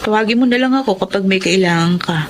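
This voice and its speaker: An actress playing a patient, devoted older sister in a Filipino radio drama, speaking gently with a Filipino accent. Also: female voice, mid-range voice, fast, background noise, natural voice. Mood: patient, soothing.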